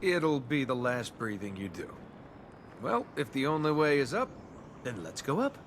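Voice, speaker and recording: An actor playing a cocky young adventurer in a video game, flippant and sarcastic. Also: male voice, mid-range voice, sing-song, moderate pace, lightly acted. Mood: determined. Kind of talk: video game character acting. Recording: noisy game capture with ambience.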